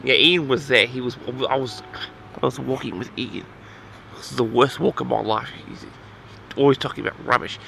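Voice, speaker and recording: A man's voice: medium pitch, normal speed, gravelly, sharp tone, slight background noise. A man telling a story casually as host of an audio log, rambling in an awkward, flustered, exasperated way.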